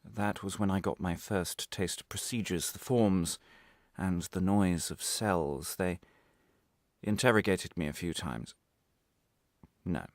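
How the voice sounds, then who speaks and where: A man performing a radio monologue as a defensive, wry prisoner recounting his arrest, halting and correcting himself with sudden emphasis. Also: deep voice, dry recording, clean studio sound. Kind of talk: dramatic radio monologue, confessional first-person narration.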